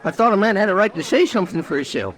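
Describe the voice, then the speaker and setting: An actor playing a frightened, simple country man in a radio western, with a rural American accent and a plaintive, halting manner. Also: high voice, animated, a bit bright. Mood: anxious pleading. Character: frightened, pleading simple country fellow, wrongly accused. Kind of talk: 1940s-50s radio western acting.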